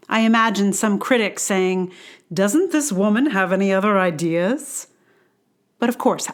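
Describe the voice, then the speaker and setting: A woman hosting a blogcast, reading her personal essay in a reflective, conversational way with wry, self-deprecating asides. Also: mid-range voice. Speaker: blogcast host and writer.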